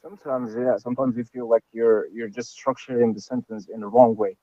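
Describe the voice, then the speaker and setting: A man's voice: medium pitch, steady tone, a little fast, no room sound. A man from Algeria, an Arabic speaker, answering as a lecture participant over a video call, hesitant and full of fillers. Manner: hesitant, many "like" and "you know" fillers. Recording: dull, dry online call audio.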